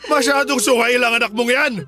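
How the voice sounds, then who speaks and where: A man playing a stern, hot-tempered middle-aged father in a Filipino radio drama, scolding and gruff in manner. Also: extremely high pitch, steady tone, a little fast, bright, forceful tone, faint music behind, exaggerated voice. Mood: furious, scolding.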